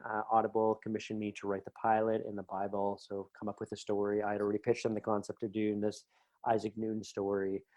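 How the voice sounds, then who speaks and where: A man's voice: on the low side, a little mellow. A man talking conversationally as an interview guest, chatty and enthusiastic, telling anecdotes about his creative work.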